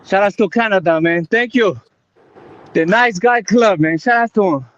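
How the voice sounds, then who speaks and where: High-voiced breathless man, English not his first. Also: moderate pace, fairly bright tone, lo-fi sound. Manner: enthusiastic, short bursts, swears. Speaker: workout partner. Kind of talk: casual chat during workout. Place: outdoor park.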